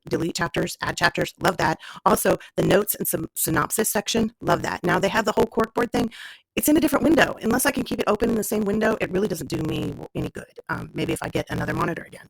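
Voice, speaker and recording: A woman's voice: mid-range voice, vocal fry, no echo, poor audio. A woman podcaster and author chatting informally at home, with a self-deprecating, digressive way of talking. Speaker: author and podcast host reading own story. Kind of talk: casual rambling chat, then read-aloud storytelling. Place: at home in a lived-in room.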